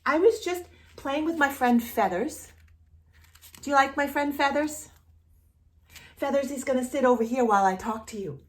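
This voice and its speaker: A woman hosting a read-aloud storytime for young children, speaking in an animated way as if straight to the child listening. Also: high-pitched, moderate pace, pauses often. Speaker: children's storytime host reading a picture book aloud.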